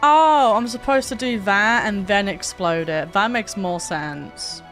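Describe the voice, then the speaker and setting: A woman's voice: mid-range voice, animated, measured pace. A woman livestreamer chatting casually while gaming, with a sweary, joking and rambling manner. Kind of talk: casual game stream chat. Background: game music.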